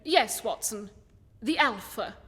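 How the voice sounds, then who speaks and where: A shrewd British gentleman detective voiced by a woman, high and very lively in pitch. He speaks with polite precision and confidence in a radio play, with a long reverb around the voice. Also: takes it slowly, loud music behind the voice. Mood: self-assured. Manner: polite, precise, self-assured.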